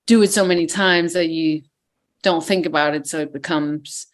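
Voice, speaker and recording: A woman's voice: deep voice, steady tone, normal speed. A woman from Denmark with a Danish accent, talking casually as an actress guest in an interview, hesitant and full of fillers.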